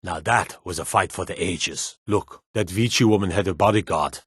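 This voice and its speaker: A stern, low-voiced fantasy city guard. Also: male voice, moderate pace, a bit bright, breathy edge, dry recording, studio quality. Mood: defensive. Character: gruff male city guard in a fantasy kingdom, wary, plainspoken. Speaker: video game voice actor. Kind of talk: scripted fantasy game dialogue.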